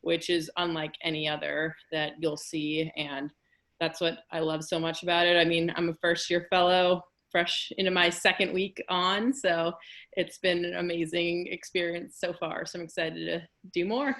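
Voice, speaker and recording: A woman's voice: lowish voice, flat delivery, moderate pace, fairly bright tone. A woman fertility fellow introducing herself casually on a webinar, with many fillers.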